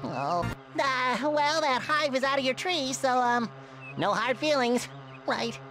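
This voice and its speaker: A man's voice: extremely high pitch, lively intonation, takes it slowly, pushed and bright, faint music behind, full character voice, poor audio. A man voicing a naive, eager sea sponge in a cartoon video game, earnest, childlike and exaggerated. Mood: sheepish, eager. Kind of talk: animated video game voice acting. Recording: noticeable background noise.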